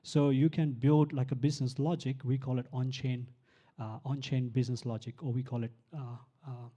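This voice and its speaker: A man with a very dark, mellow voice presents his tech project at a live event. He explains step by step in plain terms, often pausing on fillers and restarting his sentences. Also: mid-range voice, level tone, lo-fi sound. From Sri Lanka. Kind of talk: live conference talk.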